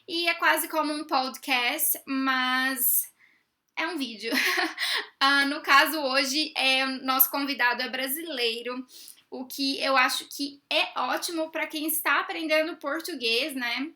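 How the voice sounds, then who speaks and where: Young chatty woman host, high and very bright voice. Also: slow. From Brazil. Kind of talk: casual chat.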